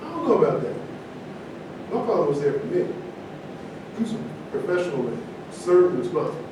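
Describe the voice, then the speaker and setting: A man's voice: big pitch swings, fairly quick. A man playing a self-assured, contrary man proud of his success, in a staged reading of a play. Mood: assertive. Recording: very echoey room, far mic, noisy rumble.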